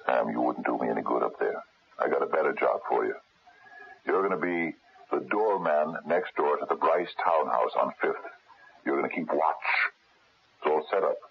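Very deep, gravelly older detective. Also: male voice, normal speed, a little hiss or hum. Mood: easygoing, planning. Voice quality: gravelly, husky. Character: seasoned, wry older male private detective, thirty years experienced. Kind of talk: 1970s radio drama acting. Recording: dull lo-fi 1970s radio broadcast.

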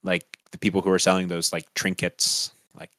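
Low-voiced young man chatting casually. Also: normal speed, dry recording. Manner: relaxed, laughing often, filler-heavy. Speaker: podcast co-host. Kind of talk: casual chat.

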